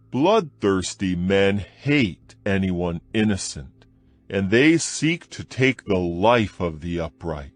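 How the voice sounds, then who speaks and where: A man reading scripture aloud in a dramatized Bible recording, with a slow, measured and solemn delivery. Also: lowish voice, no room sound. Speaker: scripture reader. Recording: soft music bed behind voice. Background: faint background music.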